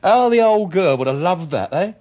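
An actor playing an irritable, wheedling English husband in a radio drama, blustering and stammering in colloquial speech. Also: male voice, sits high, animated, normal speed, a little mellow. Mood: resentful, sarcastic. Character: irritable, wheedling working-class husband, sarcastic and resentful. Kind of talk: 1980s British radio drama acting.

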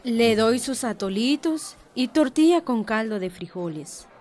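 Earnest young Guatemalan mother, highish voice. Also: expressive, unhurried, a little mellow. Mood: respectful, concerned. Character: young village mother, earnest, worried, respectful. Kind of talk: radio soap opera acting.

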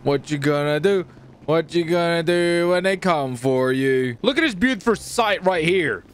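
A man hosting a gaming video with excitable, theatrical commentary and playful roleplay, often repeating his phrases. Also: a little high, moderate pace, clean tone.

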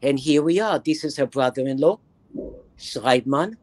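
A woman in her eighties from Israel with a Bronx accent, lecturing a reading group over a video call in an animated, emphatic way, repeating phrases for effect. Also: rumbling low, normal speed, dry recording. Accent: Bronx New York. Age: 80s. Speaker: guest lecturer. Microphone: webcam on video call, close to the face.

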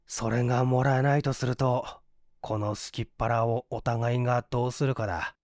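A man's voice: medium pitch, fairly even intonation, moderate pace, darkish tone, dry recording, clean studio sound, pitched below the performer's own voice, lightly acted. A man voicing a broke, hungry fellow in a storybook reading, coaxing and wry in half-polite talk. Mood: scheming, matter-of-fact.

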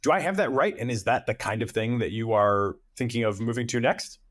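A man's voice: medium pitch, brisk, no room sound, studio quality. A man in his thirties, a podcast host asking technical questions in a conversational way. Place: indoors, video call.

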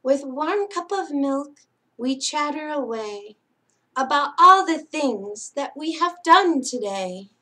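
Slow, high-voiced woman hosting children's story time. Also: expressive. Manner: gentle, singsong, addressing young children. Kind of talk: children's picture-book read-aloud. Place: small indoor space hung with curtains and string lights.

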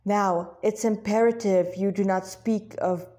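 A woman voicing a calm, consoling male god in a story, with a gentle, measured manner. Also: medium pitch, lightly acted. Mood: hushed, grumbling.

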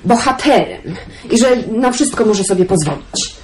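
An actress playing a touchy, sharp-tongued young country woman with a prickly, emotional manner in a radio drama. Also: mid-range voice, animated, normal speed, lightly acted. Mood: irritated. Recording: noisy radio play recording.

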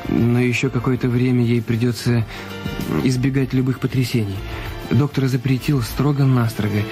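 A man's voice: mid-range voice, fairly even intonation, moderate pace, close to an everyday voice. A man acting an anxious, guilty husband in a radio play, speaking in a hesitant, faltering, self-questioning way. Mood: nervous, evasive. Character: Raoul Duval, anxious, guilty husband with secrets. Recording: music bed under the voice.

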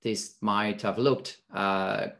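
A man's voice: fairly low pitch, steady tone, slow pace, gravelly, a bit bright. A French man in his thirties, a native French speaker, speaking upbeat and casually on a webinar video call from an office. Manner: upbeat, laughing, casual with fillers. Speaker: insurance company founder, panel guest. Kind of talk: webinar panel talk. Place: indoor office. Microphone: computer mic on video call.

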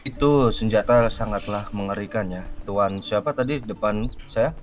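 A man playing a loyal, cautious crime lieutenant in an online game roleplay, speaking deliberately. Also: lowish voice, soft background music, lightly acted. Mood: matter-of-fact.

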